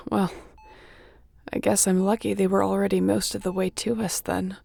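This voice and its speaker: A woman playing a wounded starship captain in a sci-fi audio roleplay, wry and caring, speaking haltingly and softly with a breathy, gravelly voice. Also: medium pitch, little pitch movement. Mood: weary, wry. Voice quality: gravelly, often breathy and whispery.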